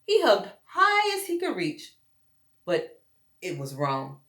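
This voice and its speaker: A woman's voice: medium pitch, wildly swooping pitch, slow, fairly bright tone. A woman reading a story aloud to young children, expressively and with dramatic emphasis, addressing them as friends. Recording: roomy echo.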